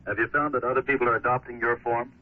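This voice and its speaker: A dull, slightly noisy vintage broadcast carries an American man interviewing for radio sport. His delivery is quick, in a medium-pitched voice. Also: fairly even intonation.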